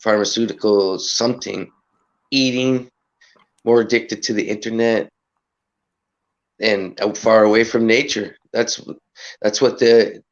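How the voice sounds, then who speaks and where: A man with a raspy voice hosting a casual livestream chat, rambling earnestly about energy, respect and nature. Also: lowish voice, steady tone, a little slow, long pauses, dull audio. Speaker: livestream host.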